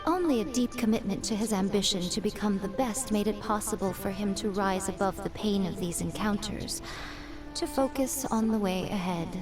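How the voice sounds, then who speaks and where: An actress playing a wise, elegant shrine lady in a video game, speaking in a measured, lofty, faintly amused way. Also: medium pitch, close to an everyday voice.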